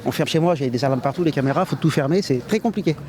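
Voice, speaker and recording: Brief: middle-aged man from France, street interview, rapid, insistent, fretful. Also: fairly high pitch, background noise. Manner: worried, insistent, repeats himself. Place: city street outdoors.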